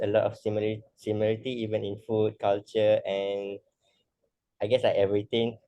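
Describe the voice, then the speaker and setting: Dark-voiced young Malaysian man. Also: lowish voice, no echo. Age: young adult. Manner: hesitant, many fillers. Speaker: interviewer, student teacher. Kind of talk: casual conversation.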